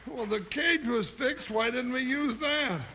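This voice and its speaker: High-voiced folksy cowboy sidekick. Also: male voice, unhurried. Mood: weary, complaining. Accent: American. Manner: drawling rustic talk, whooping exclamations. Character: folksy, excitable male comic cowboy sidekick, loyal. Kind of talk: 1950s radio western acting. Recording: noisy, crackly 1950s radio recording.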